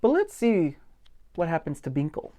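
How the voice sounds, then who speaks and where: A man reading a picture book aloud as a pastor at storytime, with gentle expression and simple asides for young children. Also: fairly high pitch, normal speed. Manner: gentle, expressive, stretches key words for emphasis.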